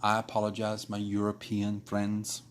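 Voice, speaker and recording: Guy rambling on a late-night drawing stream, kinda low voice, lots of uh and you know. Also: monotone, normal speed. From Kentucky. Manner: rambling, many restarts and fillers. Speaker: artist streaming live. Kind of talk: casual chat while drawing.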